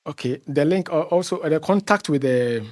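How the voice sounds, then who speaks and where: A man's voice: on the high side, a little fast, a little mellow. A man from Ghana with a Ghanaian accent speaks as an interview guest, explaining conversationally and often repeating phrases as he searches for words.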